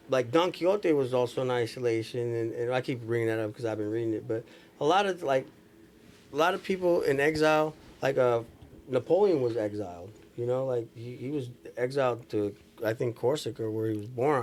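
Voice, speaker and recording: A man's voice: medium pitch, darkish tone. A man chatting casually on a podcast in a slangy, expansive storytelling way. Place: small furnished lounge studio indoors. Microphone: close podcast microphone.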